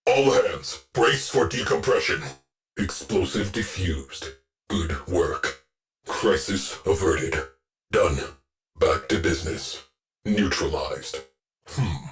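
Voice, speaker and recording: A man playing a gruff, sardonic shipboard AI officer in a video game, giving clipped, deadpan military orders. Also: low-pitched, full character voice. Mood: urgent, commanding, then dry, brisk. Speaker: ship's AI crew officer. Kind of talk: scripted video game voice lines.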